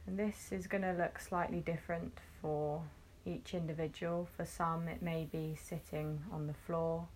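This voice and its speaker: A woman guiding a meditation, gently instructing in short phrases with long, unhurried pauses. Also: on the low side, little pitch movement, a little hiss or hum. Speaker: meditation guide. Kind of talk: guided meditation, soothing instruction.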